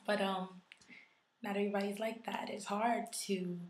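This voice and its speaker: A woman speaks to her viewers in a hoarse voice that is fading from illness. She muses through her thoughts with frequent ums, at a middle pitch. The room around her rings with a clear echo. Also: flat delivery, normal speed, slight background noise. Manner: conversational, reflective, with frequent ums. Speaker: YouTuber. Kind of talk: casual video response vlog.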